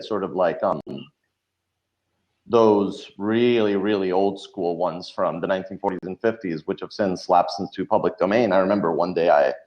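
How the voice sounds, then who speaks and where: A man's voice: low voice, a little mellow. A man chatting in an interview as a guest drama writer, explaining and telling stories in a reflective way. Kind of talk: casual interview chat. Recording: dull lo-fi audio.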